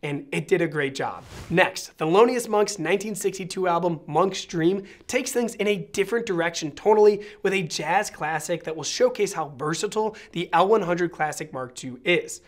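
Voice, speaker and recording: A man's voice: high-pitched, moderate pace, few pauses. An American man in his thirties giving a scripted review to camera with polished, articulate presenter delivery. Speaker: presenter of an audio product review. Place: small indoor studio set.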